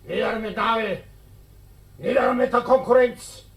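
An actor playing a blustering, scheming Viennese innkeeper in a satirical radio play, given to ranting slogans. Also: male voice, extremely high pitch, measured pace, fairly bright tone, distant mic, heavily acted.

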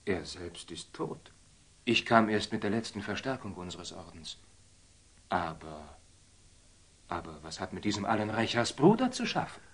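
An actor playing a proud, hot-headed young knight in a classic German radio drama, intense and theatrical. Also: male voice, low-pitched, sing-song, normal speed, pauses often, husky, full character voice. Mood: bewildered. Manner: intense, theatrical, breaking into stunned whispers.

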